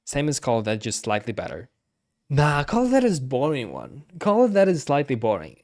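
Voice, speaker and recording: Man, game streamer, casual commentary, halting delivery, repetitive, second-guessing. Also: medium pitch, wildly swooping pitch, darkish tone.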